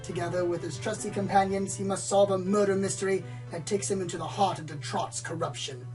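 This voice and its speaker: A woman's voice: mid-range voice, steady tone, brisk, a little mellow, recorded from a distance, soft background music, lightly acted. A woman playing a pompous, dramatic male pony storyteller who loves noir detectives, florid and self-important, in a comedic radio play. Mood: earnest, grand. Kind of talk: comedic radio play, hardboiled narration parody.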